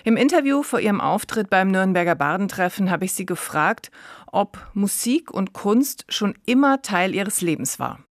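A woman radio presenter reading scripted narration and asking interview questions in a measured, clear manner. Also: medium pitch, animated.

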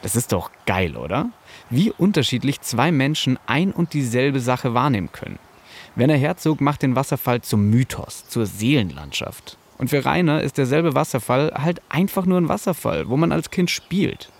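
A man's voice: medium pitch, big pitch swings, moderate pace, gravelly. A man narrating a scripted podcast as host, in a casual, personal storytelling manner.

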